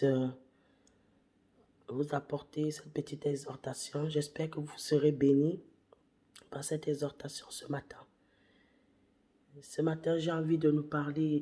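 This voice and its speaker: Slow, hushed woman preaching with a cold. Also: deep voice. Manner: slow and deliberate, repeats phrases, hushed at times. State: has a cold, voice worn. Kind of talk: morning sermon exhortation.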